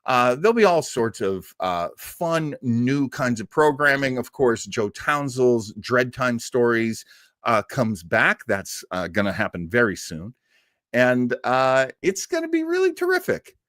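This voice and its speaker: A man's voice: mid-range voice, very animated, measured pace, fairly bright tone, no echo, clean studio sound. A man in his sixties hosting an online show with casual chat, rambling and enthusiastic in an effusive way. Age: 60s.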